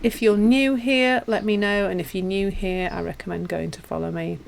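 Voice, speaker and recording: A woman with a Yorkshire accent teaching and chatting casually with viewers on a live stream, self-deprecating and warm in her asides. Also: medium pitch, steady tone, moderate pace, creaky, slight background noise. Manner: chatty and self-deprecating, with frequent restarts and laughs. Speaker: meditation teacher.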